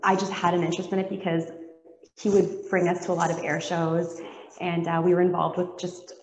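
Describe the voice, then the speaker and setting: A woman from Canada answering interview questions, with many pauses and restarts. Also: on the low side, flat delivery, a little mellow, soft background music. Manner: hesitant, many fillers and restarts. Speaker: guest pilot. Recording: dull, echoey remote audio.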